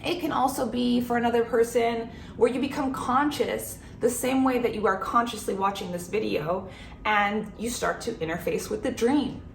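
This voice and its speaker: A woman's voice: on the high side, steady tone, normal speed, vocal fry, roomy sound, a little hiss or hum. A woman in her thirties, talking earnestly and reflectively to camera about her spiritual practice, with some fillers and restarts. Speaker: spiritual channel host. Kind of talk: personal talk to camera.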